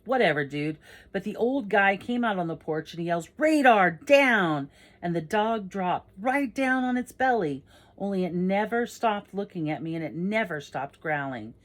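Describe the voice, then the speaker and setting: Casting: female narrator as excitable, foul-mouthed teen boy, audiobook dialogue, emphatic and slangy, raised voice. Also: medium pitch, normal speed, voice pushed up, lightly acted. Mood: insistent, awed. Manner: emphatic, slangy, self-correcting. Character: excitable, foul-mouthed teenage boy telling a tall story.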